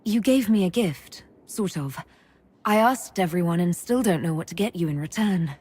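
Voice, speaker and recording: An actress voicing an earnest noblewoman who leads, speaking in a calm, sincere way. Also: fairly low pitch, moderate pace, slightly rough. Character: noble human woman leader, earnest.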